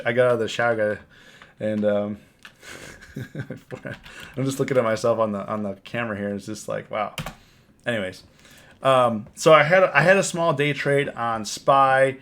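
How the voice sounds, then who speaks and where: Hoarse, gravelly man streaming a trading recap. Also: mid-range voice, a bit bright. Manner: rambling, repeats words and restarts often. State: hoarse from a cold. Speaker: options trading live streamer. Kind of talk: casual live-stream market recap. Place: home, on camera.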